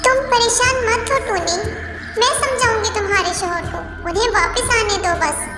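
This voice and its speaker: A tiny, extremely high-pitched cartoon bird, gentle and caring, speaking earnestly like a kindly mother or friend. The voice is echoey, with loud music playing behind it. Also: normal speed. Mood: comforting. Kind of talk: Hindi cartoon voice acting.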